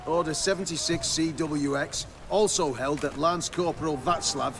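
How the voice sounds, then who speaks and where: An actor playing a mocking rank-and-file soldier with a sneering British delivery in a video game. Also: male voice, fairly high pitch, moderate pace, a crowd in the background, natural voice. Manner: sneering, sarcastic.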